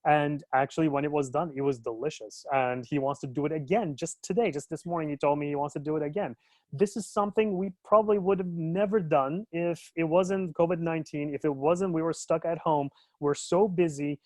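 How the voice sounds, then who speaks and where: A man's voice: a little high, fairly even intonation, fairly quick, a little mellow, no room sound. Turkish-born man in a dry webinar. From Turkey. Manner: conversational, with many fillers and restarts. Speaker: educational consultant. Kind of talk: webinar discussion.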